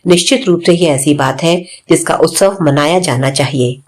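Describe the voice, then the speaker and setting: Low-pitched woman reading aloud. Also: moderate pace, a little mellow. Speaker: audiobook reader. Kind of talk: reading a spiritual self-help book aloud.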